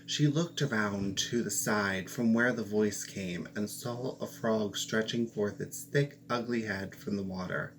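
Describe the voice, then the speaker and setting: Man, storyteller, fairy tale read aloud, very slow and deliberate, faint hum behind. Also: lowish voice, rough recording. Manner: slow, expressive storytelling with playful asides.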